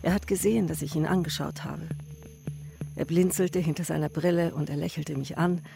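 An actress playing a grave woman who recalls her starved husband's return from war, in a sober, measured radio drama monologue. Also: low voice, steady tone, gravelly. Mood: quiet, remembering. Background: music.

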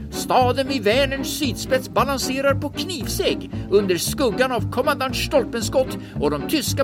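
A dramatic announcer for a vintage-style adventure serial, performed by a woman. Her voice is high and theatrical, riding over clearly audible music. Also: close to an everyday voice. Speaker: narrator. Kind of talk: dramatic old-time radio announcer narration.

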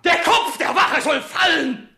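A man's voice: very high voice, measured pace, vocal fry, pushed and bright, exaggerated voice. An actor playing a proud, childish dying king who gives theatrical, imperious commands in a 1960s German radio play. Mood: manic commanding. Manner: imperious, theatrical, swinging from murmurs to shrieks.

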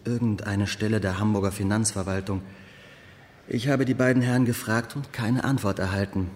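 An actor playing a formal, fussy archive clerk with a wry, measured manner in a radio drama. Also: male voice, lowish voice, steady tone, darkish tone, natural voice. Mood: wry, courteous. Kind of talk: German radio drama acting. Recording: long hall reverb, faint room noise.

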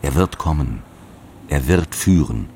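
Paulus, deep-voiced zealous apostle. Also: male voice, animated, unhurried, warm tone, a little hiss or hum. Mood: condemning, severe. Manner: declamatory, commanding, preacherly. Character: Paulus, stern zealous male apostle, guardian of the faith. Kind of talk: solemn audio drama monologue in archaic scriptural language.

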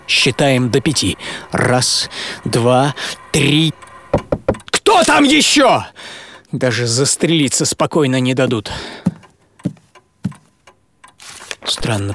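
An actor playing a melancholy, bitter banker and mystic writer, telling his life in a theatrical radio monologue with dramatic pauses. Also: mid-range voice, slight background noise. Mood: wry, reflective. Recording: loud music under the voice.

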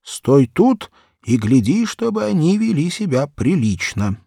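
An earnest small child from a children's audiobook acted out by a male narrator. The voice sits high and swoops up and down, with a childlike insistence. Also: sing-song, a little slow, creaky, warm tone, husky, no echo, clean studio sound, heavily acted. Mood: earnest, bossy. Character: small earnest children, boy and girl, pleading and fussing. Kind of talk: audiobook character acting.

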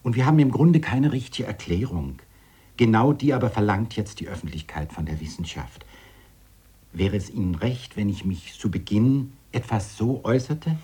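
An actor playing a courteous, diplomatic science professor in a radio drama, speaking formally and with deliberate care. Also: male voice, fairly low pitch, lively intonation, dark tone, slightly rough. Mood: earnest, measured. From Denmark. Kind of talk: 1970s radio drama acting.

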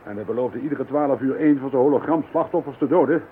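Thin noisy sound, guy playing no-nonsense doubting cop in a radio play, middle pitch, brisk. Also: close to an everyday voice. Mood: grim. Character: sceptical, practical police chief inspector. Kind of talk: Dutch audio drama acting. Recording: thin phone-like sound, faint noise.

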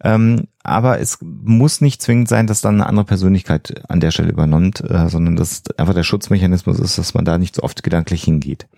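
Low, creaky-voiced man explaining carefully. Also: a little fast, few pauses, warm tone, no room sound, studio quality. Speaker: podcast host explaining psychology. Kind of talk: explanatory podcast talk.

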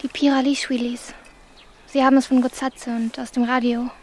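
Very high-voiced, cautious young girl. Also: level tone, normal speed, darkish tone. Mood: anxious. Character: cautious thirteen-year-old girl, loyal best friend. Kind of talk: naturalistic radio drama acting.

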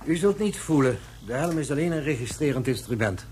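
An actor playing a courteous, politely condescending scientist in a radio drama, speaking in a composed clinical way. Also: male voice, mid-range voice, expressive, fairly quick, a little mellow, a little hiss or hum, close to an everyday voice. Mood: calm, reassuring. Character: composed, courteous male scientist interrogating with brain-scanning apparatus.

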